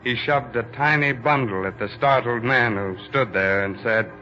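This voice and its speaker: An actor playing an earnest, reflective ship's doctor, narrating solemnly and deliberately in a 1940s radio drama. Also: male voice, mid-range voice, pushed and bright, soft background music, close to an everyday voice. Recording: muffled vintage 1940s radio recording.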